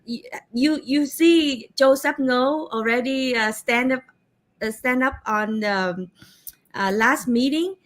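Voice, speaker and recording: A woman interviewer with a Vietnamese accent, talking quickly and animatedly with many fillers. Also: sits high. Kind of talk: interview and translating.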